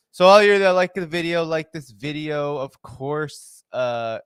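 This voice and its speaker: A man hosting his own live stream, chatting casually, greeting viewers by name and filling pauses with "uh" and "um.". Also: a little high, clear, resonant tone, dry recording, clean studio sound. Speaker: livestream host. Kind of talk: casual livestream chat.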